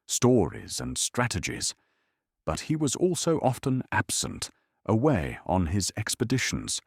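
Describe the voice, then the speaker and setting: A man narrating a calm bedtime history documentary in a measured, solemn storytelling manner. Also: low-pitched, vocal fry, slightly rough, no echo, studio quality.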